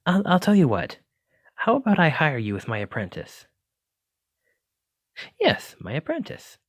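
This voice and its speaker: A man's voice: medium pitch, dry recording. A man voicing a clumsy, kindly wizard in an ASMR roleplay, courteous and apologetic, stammering when flustered. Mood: warm, reassuring. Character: clumsy, kindly wizard bookseller teaching magic. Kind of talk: ASMR roleplay addressed to listener.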